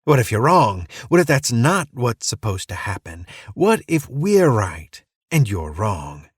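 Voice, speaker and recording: A man's voice: medium pitch, sing-song, unhurried, breathy edge, no echo, studio quality. A man voicing an unhinged male cult preacher who swings between gleeful mockery and menace. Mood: menacing. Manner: manic, cackling, mocking sing-song.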